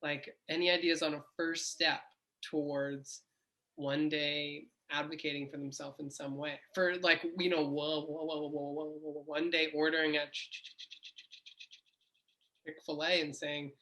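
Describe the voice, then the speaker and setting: A woman's voice: sits low, flat delivery, unhurried, pushed and bright. A woman hosting an interview, asking questions and stuttering openly with repeated sounds. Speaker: host, speech therapist.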